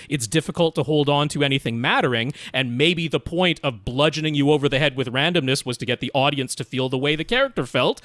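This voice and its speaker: A man co-hosting a podcast in casual, opinionated film chat, talking in an animated, rambling, self-deprecating way. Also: a little high, no room sound. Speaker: podcast co-host.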